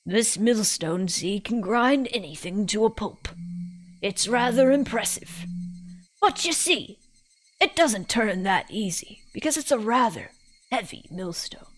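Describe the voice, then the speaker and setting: A woman's voice: mid-range voice, lively intonation, unhurried. A woman voicing a stubborn, long-winded old country woman who tells her stories in a folksy, emphatic way. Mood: lecturing.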